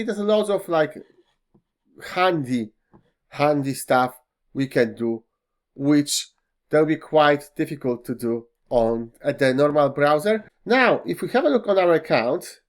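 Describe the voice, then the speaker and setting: A man whose first language is Polish guides viewers through software at a slow, unhurried pace. His speech is full of fillers and slightly non-native phrasing. He speaks into a desktop mic at his computer. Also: a little high. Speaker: tech tutorial host. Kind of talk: screen-recorded software walkthrough.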